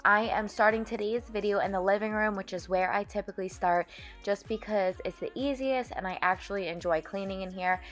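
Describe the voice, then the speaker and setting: A woman's voice: mid-range voice, fairly quick. A woman vlogger giving a casual, chatty, friendly voice-over narration. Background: background music.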